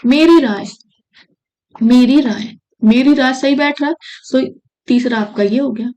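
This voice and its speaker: A woman from India teaches an exam-prep grammar lesson, her voice sitting a little high. She repeats each key phrase and keeps checking in with her viewers as she works through the answers. She speaks close to the mic in a solo teaching video. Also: unhurried, mellow.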